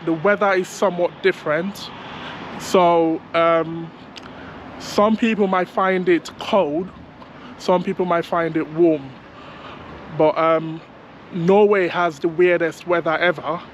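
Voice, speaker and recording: A man with a hoarse, sore throat, hesitantly talking through a casual travel vlog while walking outdoors. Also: a little high, fairly even intonation, takes it slowly, slight background noise. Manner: hesitant, many ums, thinking aloud. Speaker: travel vlogger. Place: outdoors on city streets.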